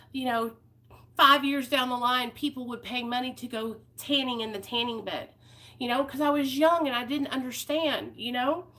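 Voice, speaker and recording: A woman's voice: sits high, sharp tone. A woman, talking candidly and heartfelt to her viewers in a personal vlog. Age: 30s. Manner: candid, heartfelt, rambling with fillers. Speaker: vlogger.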